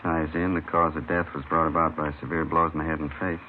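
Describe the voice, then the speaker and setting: An actor playing a plain-spoken county morgue doctor, terse and factual, in 1950s radio crime drama style. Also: male voice, very deep voice, flat delivery, fast talker. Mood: matter-of-fact. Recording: muffled, noisy vintage radio recording.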